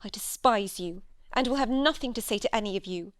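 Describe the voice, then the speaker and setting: A woman's voice: medium pitch, fairly quick, no room sound, lightly acted. A woman reading an audiobook, voicing a proud, scornful young lady with a cold, disdainful delivery. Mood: bitter.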